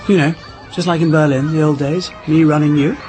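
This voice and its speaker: An actor playing an urbane, persuasive English diplomat and husband in a radio drama. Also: male voice, a little high, steady tone, fairly quick, music in the background, lightly acted. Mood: coaxing, grave. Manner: urbane, old-fashioned, hesitant when coaxing. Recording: clear noise behind the voice.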